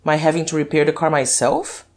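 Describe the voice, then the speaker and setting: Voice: mid-range voice, little pitch movement, fairly quick, natural voice. A narrator playing a haughty, teasing aristocratic duke in an audiobook story. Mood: polite.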